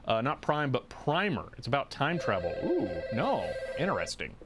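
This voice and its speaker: Man, livestream host, casual chat, halting, thinking aloud, medium pitch. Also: wildly swooping pitch, heavy background noise. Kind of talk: casual livestream chat, reading and answering viewers.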